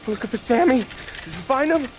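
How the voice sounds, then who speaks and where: A man's voice: extremely high pitch, very animated, a little fast. A man playing a hot-tempered but loyal American gangster in a dramatic video game, with coarse, slangy wiseguy talk. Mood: tense.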